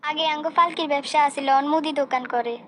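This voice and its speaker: A woman's voice: high voice, fast talker, fairly bright tone, slight background noise. A woman with a Noakhali Bengali accent voicing a dignified, outspoken young bride-to-be in a comic cartoon. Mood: polite. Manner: polite but firm.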